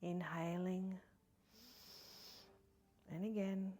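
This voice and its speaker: A woman leading a guided meditation in a soft, breathy, partly whispery voice, soothing and gentle with long pauses. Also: fairly low pitch, level tone. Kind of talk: guided body scan meditation.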